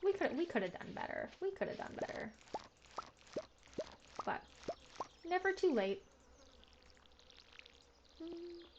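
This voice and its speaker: A woman's voice: very high-pitched, sing-song, slow, pauses often, background noise. A young woman, chatting in a rambling, filler-heavy, self-deprecating way while playing a game. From Canada. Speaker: gaming video host. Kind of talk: casual chat while playing a farming game. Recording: slightly muffled with faint game music.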